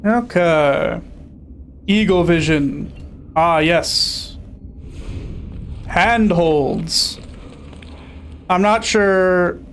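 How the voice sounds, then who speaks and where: A man's voice: high voice, extremely slow, pauses often, fairly bright tone, a little hiss or hum. Chatty sarcastic young man streaming. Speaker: streamer playing a video game. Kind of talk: casual gameplay commentary.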